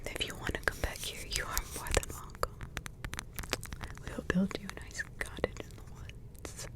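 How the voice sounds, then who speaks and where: A woman voicing a doting grandmotherly witch in an ASMR roleplay, with a soft, tender whisper and rambling asides. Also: moderate pace, lightly acted. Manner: tender, sidetracked asides, affectionate endearments.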